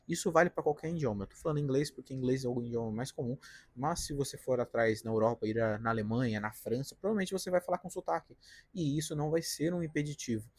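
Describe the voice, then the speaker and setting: A Brazilian man with an interior-São Paulo accent talks straight to his audience in a relaxed, direct vlog, often ending his sentences with little check-in tags. His mid-pitched voice has a slightly husky edge, and the sound is close and dry, with little room around it. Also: no room sound. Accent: Paulista, from the interior of São Paulo. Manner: conversational, direct, frequent "né?" and "tá?" tags. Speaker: career vlogger, designer. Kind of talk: casual advice vlog to camera. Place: apartment balcony.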